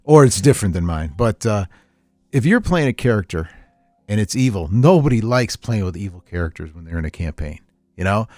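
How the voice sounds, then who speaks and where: A man with a slightly low voice speaks as a podcast host, close and dry on the recording. He rambles through his opinions in casual, unscripted talk, ranting as he goes. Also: expressive, brisk, a little mellow, dry recording.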